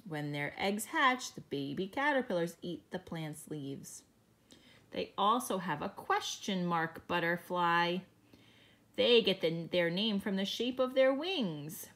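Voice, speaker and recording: A woman teacher reading a picture book aloud to young children, explaining each page in a gentle way. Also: on the low side, expressive, slow pace.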